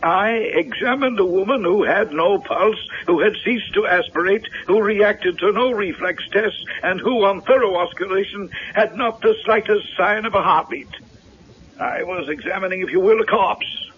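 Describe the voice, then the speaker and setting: An actor playing a pompous, defensive doctor with precise, long-winded formal diction in a 1970s radio drama. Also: male voice, high voice, normal speed, slightly rough. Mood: emphatic, clinical. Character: pompous, indignant physician, condescending and defensive. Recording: dull lo-fi vintage radio recording.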